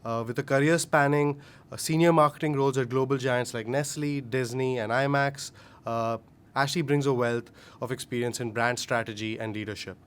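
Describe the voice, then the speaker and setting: A man's voice: medium pitch, fairly even intonation, moderate pace, smooth. A young man hosting a student podcast, reading a formal guest introduction with many filler sounds.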